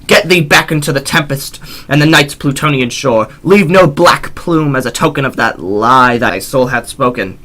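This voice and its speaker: A man's voice: medium pitch, sharp tone, pitched above the performer's own voice. Man acting tormented gothic mourner, raised voice. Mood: enraged shrieking. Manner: theatrical, anguished declaiming. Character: grief-stricken man, tormented, desperate, ranting at a bird. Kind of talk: gothic poetry recitation.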